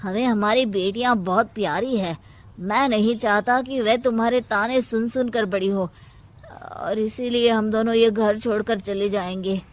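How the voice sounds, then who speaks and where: Woman playing a measured, firm grown son. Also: on the high side, fairly even intonation, natural voice. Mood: resolute. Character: reasonable, firm grown son standing up to his mother.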